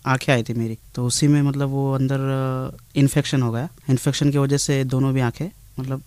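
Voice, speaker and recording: A man answering interview questions in a halting, modest, conversational way. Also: mid-range voice, little pitch movement, darkish tone, clean tone, no echo. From India. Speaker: guest singer being interviewed.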